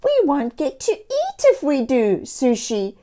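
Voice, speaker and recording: A woman's voice: sits high, wildly swooping pitch, a little slow, dark tone, pitched above the performer's own voice, exaggerated voice. A woman voicing blunt little kitties from a children's storybook, speaking emphatically. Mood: reproachful. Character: group of blunt storybook kitties scolding a friend.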